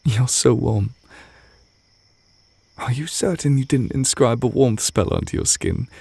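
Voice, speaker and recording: Guy playing a gentle witch boyfriend for asmr, deep breathy voice with some fry, really soft and intimate. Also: unhurried, no echo. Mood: fond, gentle. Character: tender, reserved male witch teacher confessing love to partner. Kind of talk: ASMR romantic audio roleplay. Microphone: close microphone.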